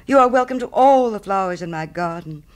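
A man's voice: fairly high pitch, big pitch swings, moderate pace, creaky. A man voicing a naive, humble little man in a storybook tale, earnest and eager to please his friend. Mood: devoted. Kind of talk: storybook character reading.

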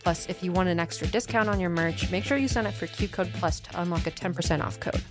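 A woman's voice: fairly low pitch, fast talker, over music. A woman reading a scripted promo as a podcast network announcer.

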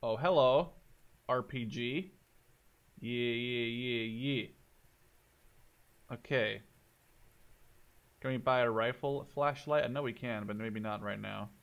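A man in his twenties giving casual let's play commentary, thinking out loud in short phrases as if talking to himself. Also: mid-range voice, takes it slowly, creaky, long pauses, highs cut off. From Canada or Netherlands. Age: 20s. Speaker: gaming YouTuber playing and commentating.

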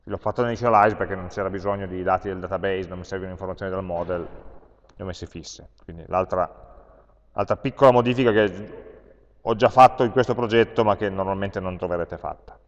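A lower-pitched Italian man talks a class through writing code, pausing and filling gaps while he thinks aloud. The capture is dull and lo-fi, with a long hall echo trailing each phrase. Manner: thinks aloud while typing code, many pauses and fillers. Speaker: university lecturer. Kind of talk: live-coding programming lesson.

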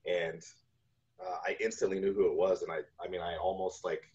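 Male interview guest, 40s, very deep voice, relaxed storytelling style, lo-fi call sound. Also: fairly quick. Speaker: guest, video game composer. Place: home room on a video call. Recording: dull lo-fi video call audio.

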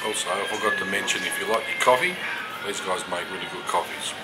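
A man's voice: low voice, quick pace, over loud music. A middle-aged man, chatting casually to a handheld camera as he tours a cafe and hotel, recommending places. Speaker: travel vlogger. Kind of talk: casual travel vlog. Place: cafe, street and hotel lobby. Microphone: handheld camera at arm's length. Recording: loud street and room noise.

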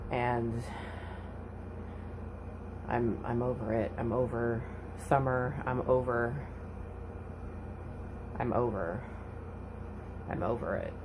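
Brief: woman in her thirties, casual phone vlog, slow and sighing, faint room hiss. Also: extremely low pitch. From California. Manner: slow, sighing, grumbling complaints. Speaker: vlogger. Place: parked truck cab, then dim home room. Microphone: phone at arm's length.

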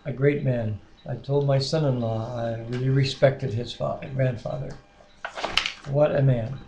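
An older man in his sixties with a creaky, gravelly voice speaks as co-host of a home devotional. He moves between casual talk, prayer and scripture reading. The room echoes around him on the webcam microphone, with faint noise underneath. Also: medium pitch, fairly even intonation, unhurried. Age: 60s. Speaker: co-host of a Bible reading series. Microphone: webcam microphone at arm's length.

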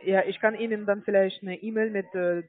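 A woman account manager giving a phone sales pitch in a hesitant, stumbling way. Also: steady tone, brisk, dry recording, faint music behind. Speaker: cold-calling account manager. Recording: narrow tinny phone line.

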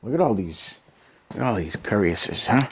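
Low-voiced American man thinking aloud. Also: expressive, moderate pace, slightly rough. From United States. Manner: unscripted, thinking aloud in short muttered bursts. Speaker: hobby vlogger. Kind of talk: casual chat to camera. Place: cluttered basement hobby room. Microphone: handheld camera near arm's length.

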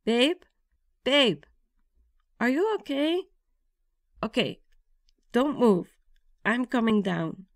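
A woman's voice: fairly high pitch, very animated, takes it slowly, long pauses, dry recording, clean studio sound, exaggerated voice. A woman playing a nervous, affectionate young bride-to-be in an ASMR girlfriend roleplay, with a tender, intimate delivery. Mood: alarmed, scolding. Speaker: roleplay audio performer.

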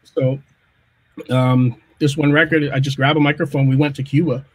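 Man, middle-aged, from Canada, relaxed storyteller, halting restarts, home studio, video call. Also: medium pitch, low-quality recording. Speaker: music producer. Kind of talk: casual video-call chat.

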